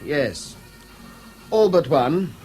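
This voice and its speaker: Guarded conscientious man, British, reserved, hesitant, fairly high voice, 1970s radio drama. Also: very animated, drawn-out words, dark tone, faint music behind, heavily acted. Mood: sober, wary.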